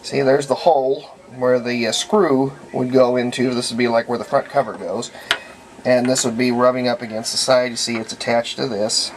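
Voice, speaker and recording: A hobbyist restorer giving a casual hands-on workshop demonstration, thinking aloud in a halting way as they work. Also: mid-range voice, steady tone. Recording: noisy room recording with ambient sound.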